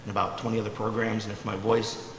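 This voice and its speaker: A hoarse man with a fairly low voice gives an informal panel talk and keeps clearing his throat. His voice carries a long echo through a big conference hall. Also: flat delivery, fast, fairly bright tone, a little hiss or hum. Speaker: conference panel speaker, affiliate coordinator. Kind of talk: conference presentation.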